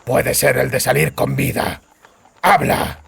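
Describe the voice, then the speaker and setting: A man acts out a hard-bitten male monster hunter in a fantasy audiobook. His voice is extremely gravelly and creaky. He speaks tersely, with sardonic menace. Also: medium pitch, wildly swooping pitch, takes it slowly, a bit bright, exaggerated voice. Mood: threatening. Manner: terse, threatening.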